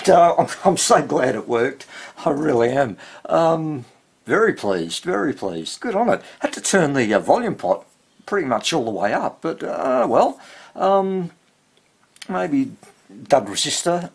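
An older man with a husky voice, giving casual, halting hands-on commentary as he tinkers at an indoor workbench. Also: fairly high pitch. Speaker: radio repair hobbyist.

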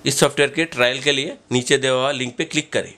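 A man's voice: mid-range voice, fairly even intonation, fast, background noise. A man, giving instructional tutorial narration as host of an electronics channel. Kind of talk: instructional tutorial narration mixed with English terms.

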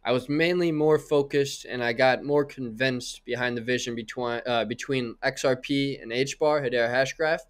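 A man's voice: mid-range voice, fairly even intonation, normal speed, few pauses, clear, resonant tone. A young man hosting a podcast interview from a small room on a close mic, friendly and asking long, rambling questions. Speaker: podcast host. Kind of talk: casual interview.

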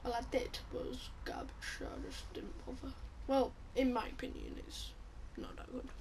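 A woman with a croaky voice giving chatty let's-play commentary, blurting out reactions and muttering asides. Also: sits high, little pitch movement, moderate pace. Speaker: gameplay commentator. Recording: loud background noise under the voice.

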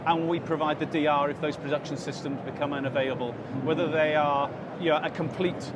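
Guy at a trade show explaining stuff, lots of ums, slightly high voice, super noisy hall. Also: steady tone, a little fast. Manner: explanatory, many fillers and restarts. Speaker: interview guest, company director. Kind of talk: expo floor interview. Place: busy exhibition hall. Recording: loud hall noise behind. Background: crowd chatter and hall noise.

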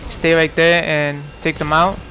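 A man's voice: a little high, level tone, measured pace, bright, forceful tone, slight background noise, rough recording. A man in his twenties from New York giving casual, rambling commentary over gameplay, full of fillers and restarts. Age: 20s. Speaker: gaming commentator.

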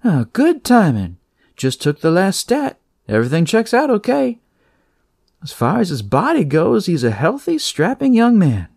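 A narrator voicing a warm, brisk female hospital nurse with a rich voice and folksy, reassuring chatter. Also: medium pitch, huge pitch swings, gravelly, no echo, clean studio sound, pitched above the performer's own voice, heavily acted. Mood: brisk, kindly.

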